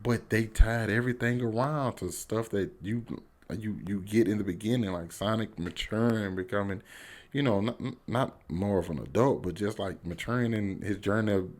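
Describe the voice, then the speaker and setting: Casting: American man, low voice, enthusiastic online film reviewer, informal and emphatic, with slangy off-the-cuff delivery. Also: moderate pace, darkish tone. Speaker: YouTube movie reviewer. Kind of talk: casual, unscripted video review to camera.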